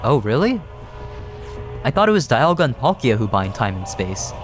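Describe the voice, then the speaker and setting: A man's voice: mid-range voice, big pitch swings, moderate pace. A man giving casual, reactive commentary on a video game he is playing. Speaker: gaming streamer. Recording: game music behind the voice. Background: video game music.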